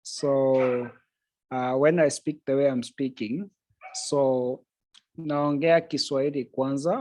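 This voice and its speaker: A man's voice: mid-range voice, a little mellow, slight background noise, rough recording. A man teaching Swahili over a video call. He explains things patiently, repeats each point in English, and laughs easily.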